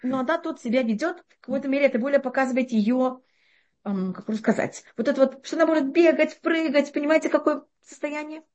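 Brisk, high-pitched woman teaching Torah. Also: dull audio. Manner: animated explaining with frequent restarts and rhetorical questions. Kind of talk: interactive religious class.

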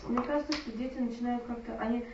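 A mother in the audience of a lecture, talking quickly and cutting in to explain her view. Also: a little high, steady tone, noisy background. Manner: quick, interrupting, eager to explain. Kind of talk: audience discussion. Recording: distant, roomy, muffled audience mic.

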